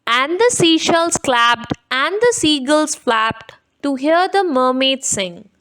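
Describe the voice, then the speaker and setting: A woman's voice: high voice, sing-song, slow pace, a bit bright, rough recording. A woman reading a rhyming picture book aloud for children. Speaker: children's story reader.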